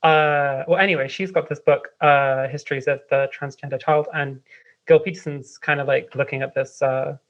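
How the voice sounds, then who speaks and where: A woman's voice: low voice, fairly even intonation, normal speed. A woman with a London accent giving casual, discursive, hesitant explanations as an interview guest. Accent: London English. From London, England. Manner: hesitant, discursive, frequent "like" and "kind of". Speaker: interview guest, writer and editor. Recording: slightly dull lo-fi audio.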